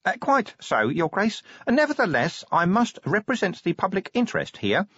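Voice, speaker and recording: A man acts out a small, pedantic government inspector in an audiobook. The voice sits high and is carefully formal, unflappably polite, opening with little hesitations. The recording is dry and slightly dull. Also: huge pitch swings, moderate pace, a bit bright, slightly rough, no room sound, exaggerated voice. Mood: prim, polite. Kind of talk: audiobook character acting.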